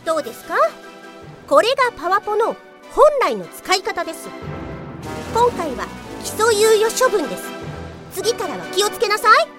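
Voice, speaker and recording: Radio drama: scolding female detective, played by a woman, blunt, very high, lively swooping delivery. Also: moderate pace, soft background music, heavily acted. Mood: firm, instructive. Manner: blunt, lecturing. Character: stern, scolding female Office police detective. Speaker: voice actress. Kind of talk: Japanese radio drama acting.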